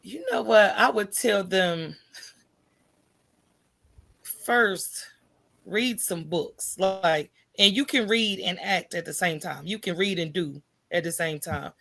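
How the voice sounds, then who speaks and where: An American woman talking as a podcast guest in an animated, colloquial storytelling way, candid and often checking in with "right?". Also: fairly low pitch, slow, gravelly.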